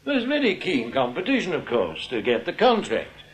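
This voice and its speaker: A man playing a smooth, domineering English bank manager in a radio drama, with a condescending, clubby manner. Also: fairly high pitch, huge pitch swings, moderate pace, heavily acted. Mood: smoothly placating. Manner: condescending, old-school clubby address. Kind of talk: British radio drama acting.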